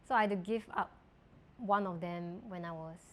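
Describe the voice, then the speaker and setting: A woman's voice: on the low side, normal speed, slight background noise. A young adult woman from Taiwan, chatting casually as a podcast guest and filling her talk with "like". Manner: chatty, lots of filler "like". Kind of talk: casual chat.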